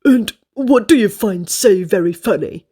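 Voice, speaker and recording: A woman's voice: on the high side, big pitch swings, slow, slightly rough, full character voice. A woman voicing a grumpy, cross man complaining in a restaurant, in a read-aloud storybook. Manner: grumbling, cross.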